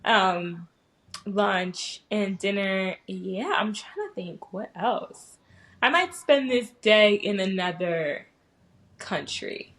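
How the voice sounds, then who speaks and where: A woman's voice: medium pitch, slow pace, fairly bright tone. A woman with an American accent talking casually and informally as a guest in a podcast interview. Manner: informal, slangy. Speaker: podcast guest, small business owner.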